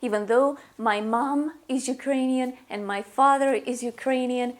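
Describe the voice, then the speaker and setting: A young woman teaching a language lesson in front of a whiteboard, explaining slowly and gesturing as she goes. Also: sits high, steady tone. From Russia. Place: indoors, small room in front of a whiteboard.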